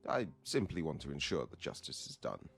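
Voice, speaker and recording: A man's voice: rumbling low, sing-song, a little fast, vocal fry, a bit bright, faint music behind, full character voice. A man playing a stern, dignified prosecutor in an audio drama, formal and firm in his speech. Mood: resolute. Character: stern, dignified male prosecutor, dryly principled. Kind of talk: amateur audio drama acting.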